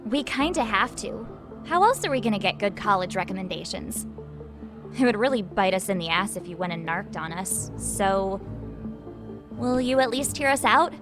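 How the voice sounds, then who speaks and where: A woman's voice: medium pitch, lively intonation, normal speed, over music, lightly acted. A woman voicing a cocky teenage schoolboy in a video game dub, wheedling and slangy with mock politeness. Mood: pleading. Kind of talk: video game cutscene English dub acting.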